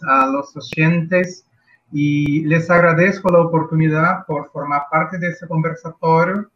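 A Brazilian man with a Portuguese accent speaks Spanish slowly and carefully, often pausing to find his words. His voice sits a little high for a man. The audio is muffled and lo-fi, like a remote call. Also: a bit bright, slight background noise. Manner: slow, thoughtful, searching for words. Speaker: guest teacher. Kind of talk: reflective interview answer.

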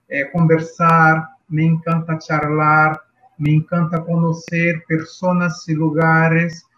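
A man from Brazil, speaking Spanish as a second language with a Brazilian Portuguese accent, answering as a guest teacher in a slow, thoughtful way, searching for words. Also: a little high, dull audio. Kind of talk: reflective interview answer.

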